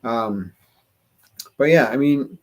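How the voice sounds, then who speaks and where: A man's voice: mid-range voice, slow, a little mellow. A man co-hosting a casual podcast chat, laid-back, often laughing and repeating his words. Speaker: podcast co-host.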